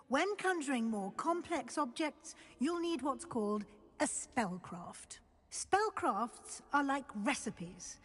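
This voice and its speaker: Middle-aged female wizarding professor, composed, instructive, high-pitched, long hall reverb, video game dialogue. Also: slightly rough. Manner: measured, instructive, formal.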